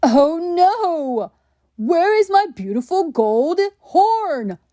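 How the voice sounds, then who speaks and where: A woman's voice: very high voice, big pitch swings, drawn-out words, dark tone, no echo, studio quality, voice pushed up, exaggerated voice. Woman putting on a vain, swooping storybook horse. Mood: panicked. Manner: boastful, theatrical. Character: vain, dramatic male horse who craves admiration.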